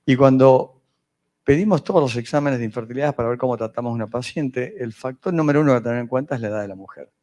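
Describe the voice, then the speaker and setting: A man from northern Argentina with a northern Argentine Spanish accent, giving a medical lecture on fertility, pausing often with fillers and restarts. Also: medium pitch, a little mellow. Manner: hesitant, many fillers and restarts.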